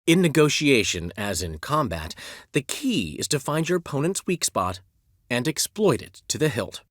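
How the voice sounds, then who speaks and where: A vain, theatrical middle-aged bard for a game: lively, lilting, dry studio sound. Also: male voice, mid-range voice, normal speed, no room sound. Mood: persuasive. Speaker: game character voice. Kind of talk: game voice lines.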